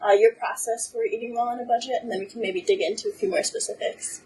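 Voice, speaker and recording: Host: woman with a higher voice, friendly interviewer, sits a bit back from the mic. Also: fast, a little mellow, distant mic, a little hiss or hum, low-quality recording. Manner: friendly, scripted intro then conversational questions. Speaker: podcast host. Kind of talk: podcast interview.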